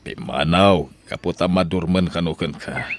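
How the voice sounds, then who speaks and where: A man's voice: sits low, moderate pace, a little hiss or hum. A man with an Ilocano accent playing a stern old husband who scolds his wife in an audio drama. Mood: stern, scolding.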